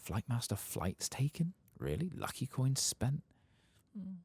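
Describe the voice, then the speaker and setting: A man giving soft-spoken ASMR commentary over a video game, murmuring and sometimes slipping toward a whisper. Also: mid-range voice, very animated, unhurried, no echo. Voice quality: soft, husky, gravelly. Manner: murmuring to himself, partly whispering.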